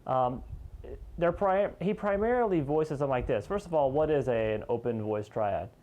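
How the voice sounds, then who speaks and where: A man teaching guitar in an informal, conversational way, explaining step by step and pausing as he points out each note. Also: mid-range voice, animated, a little mellow. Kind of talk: informal instructional lesson, demonstrating step by step.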